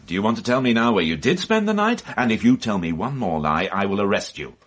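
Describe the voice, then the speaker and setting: Husky, gruff police inspector. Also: male voice, fairly low pitch, sing-song, fast, fairly bright tone. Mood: brusque, exasperated. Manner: brusque, interrogating, dry sarcasm. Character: gruff, impatient male police inspector, wearily sardonic. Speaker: actor. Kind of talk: radio mystery drama acting.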